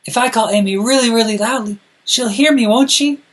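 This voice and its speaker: A man's voice: very high voice, brisk, voice pushed up. A man voicing an eager, earnest young boy from a picture book, insistent and calling out. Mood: earnest pleading.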